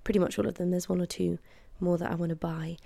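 A woman in her twenties with a hoarse voice from a cold, chatting casually and apologetically as she hosts her podcast from her flat. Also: lowish voice, fast. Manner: chatty and apologetic, with frequent laughs. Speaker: podcast host. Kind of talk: casual solo chat. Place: flat at home, late at night. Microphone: headphones and podcast mic.

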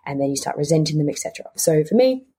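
Low-pitched young Australian woman, chatty. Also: expressive, very fast, dark tone, slightly rough. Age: 20s. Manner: candid, chatty, emphatic repetition of words. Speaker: podcast host. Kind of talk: casual solo podcast chat.

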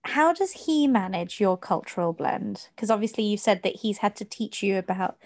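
A woman's voice: medium pitch, lively intonation, moderate pace, a little mellow, no echo. A woman interviewing a guest, asking friendly, curious questions.

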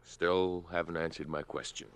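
A man's voice: sits low, fairly even intonation, normal speed, a bit bright, smooth. An actor in a 1950s radio drama playing a pushy, flirtatious salesman courting his sweetheart. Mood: coaxing.

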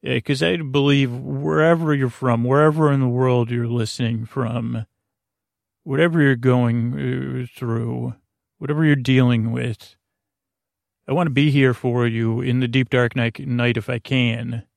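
Man, creaky gravelly voice, soothing, meandering bedtime monologue, podcast host, very dry close sound. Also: mid-range voice, steady tone, a little slow, dry recording. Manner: lulling, soothing, meandering with tangents. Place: small closet.

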